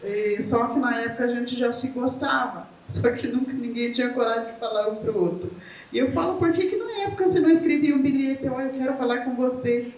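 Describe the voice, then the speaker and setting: A woman's voice: a little high, a little fast, darkish tone. A middle-aged woman from Brazil, telling her own story as a guest in a chatty way with many tag questions. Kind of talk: casual chat. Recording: echoey room from far off, faint noise.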